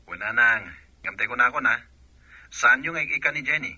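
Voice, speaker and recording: A man's voice: medium pitch, a little fast, noisy background, natural voice. A man playing a jealous, hot-tempered soldier with an Ilocano Filipino accent in a radio drama. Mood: indignant, dutiful. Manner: forceful, accusing. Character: jealous hot-tempered soldier, accusing husband and doting son.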